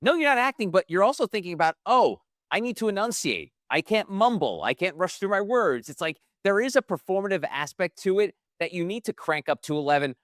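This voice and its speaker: A man hosting a podcast asks questions and chats in a relaxed, conversational way, with frequent fillers. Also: high voice, a little fast, few pauses, no echo, clean studio sound. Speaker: podcast host. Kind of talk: casual interview chat.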